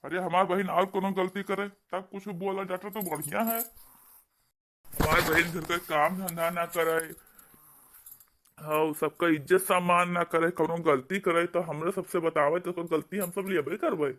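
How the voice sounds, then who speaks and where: A man's voice: high-pitched, fairly even intonation, a little slow, fairly bright tone. A man with an Awadhi accent voicing an indignant, protective brother-in-law who scolds and argues in a comedy cartoon. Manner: scolding, argumentative. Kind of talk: amateur comedy cartoon dialogue.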